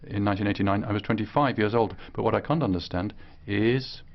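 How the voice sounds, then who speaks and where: A man interpreting a speech live, speaking in short, halting phrases. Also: on the low side, gravelly, lo-fi sound. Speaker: simultaneous interpreter.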